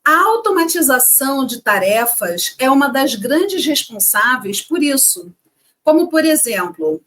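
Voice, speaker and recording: A woman's voice: a little high, a bit bright. A woman co-hosting a casual chat, reading parts of a script and talking chattily to viewers. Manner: chatty, addresses audience as "gente". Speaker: co-host.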